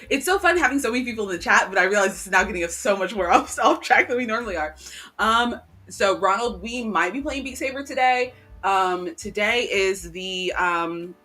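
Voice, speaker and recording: Rambling, chatty woman co-host, bright voice. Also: medium pitch. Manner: rambling, chatty, many ums and restarts. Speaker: livestream co-host. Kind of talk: casual live chat. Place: cool room at home. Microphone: home desk microphone.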